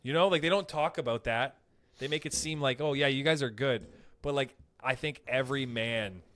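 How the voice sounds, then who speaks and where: A man's voice: medium pitch, moderate pace, fairly bright tone. A young man co-hosting a podcast, rambling through crude stories in casual banter and acting out quoted voices. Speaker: podcast co-host.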